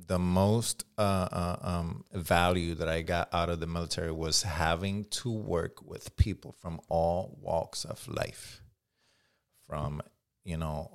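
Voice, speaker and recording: This man in his forties has a Puerto Rican accent and a deep, smooth, resonant voice. He talks candidly and reflectively as an interview guest, with frequent restarts. He swears casually along the way. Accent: Puerto Rican Spanish. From Puerto Rico. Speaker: guest, firearms instructor.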